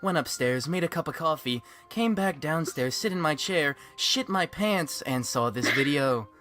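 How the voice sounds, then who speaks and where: A man's voice: fairly high pitch, expressive, normal speed, sharp tone, faint music behind. A man doing an impression of a brash male YouTuber in an exaggerated video intro. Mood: brash, mock casual.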